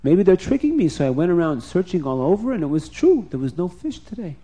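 A man's voice: sits high, very animated, fairly quick, mellow, poor audio. A man voicing a bewildered, anxious royal officer, pleading and explaining himself in a storybook tale. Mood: puzzled.

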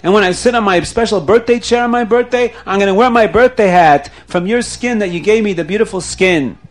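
Man playing an excitable high-voiced storybook count. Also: brisk, natural voice. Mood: grateful, generous. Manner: emphatic, exclaiming. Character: excitable nobleman count, delighted, grateful, generous man. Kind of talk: children's storytelling.

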